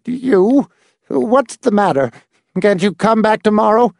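A man's voice: high voice, takes it slowly, gravelly, no room sound, studio quality, pitched above the performer's own voice, heavily acted. Man doing a thin, quavering old man. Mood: grudging. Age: older. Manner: fretful, snappish. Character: frail tiny old male caretaker, suspicious and cranky.

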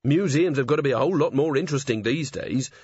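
A man's voice: mid-range voice, fast talker, darkish tone, breathy edge, no echo, voice pushed up, lightly acted. A man voicing an earnest, cheerfully oblivious young male watchman. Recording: slightly dull lo-fi audio.

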